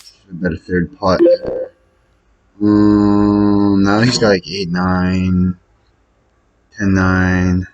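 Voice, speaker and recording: A man's voice: low-pitched, level tone, extremely slow, pauses often, darkish tone, muffled recording. A man muttering his way through casual think-aloud commentary as he plays online poker, hesitant and questioning himself. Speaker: online poker player recording own session.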